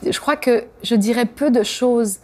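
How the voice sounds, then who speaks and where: A woman's voice: fairly high pitch, a little slow, darkish tone. A woman interviewed as a singer, telling stories in a thoughtful, reflective way and sometimes searching for her words.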